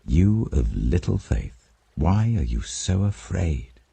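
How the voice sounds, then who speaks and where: A calm, authoritative holy teacher, voiced by a man in a scripture audiobook. The British voice is very deep and mellow, with grave, deliberate phrasing that moves widely in pitch. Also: vocal fry, breathy edge, no echo, exaggerated voice.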